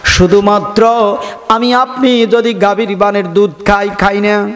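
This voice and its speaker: High-pitched Bangladeshi preacher man, heavy reverb. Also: flat delivery, fairly bright tone. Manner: drawn-out, sing-song, exhorting delivery. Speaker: Islamic preacher. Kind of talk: fervent sermon with chanted passages and calls for audience response. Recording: long hall reverb, music-like sound behind.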